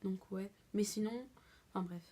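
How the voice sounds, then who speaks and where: A woman's voice: medium pitch, normal speed, very noisy. A young woman vlogger giving a casual reaction in a slangy, exclamatory way.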